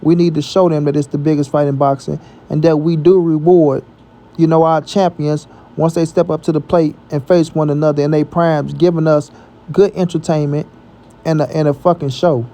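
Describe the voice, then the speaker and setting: American male sports vlogger, higher-pitched and mellow, slangy and emphatic, casual opinionated commentary. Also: little pitch movement, moderate pace. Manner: emphatic, repetitive, slangy with frequent check-in tags. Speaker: boxing commentary channel host.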